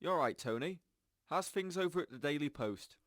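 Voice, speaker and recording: A man's voice: a little high, expressive, brisk, fairly bright tone, no room sound, clean studio sound. A man playing a chatty, blunt radio presenter in an audio drama. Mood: casual.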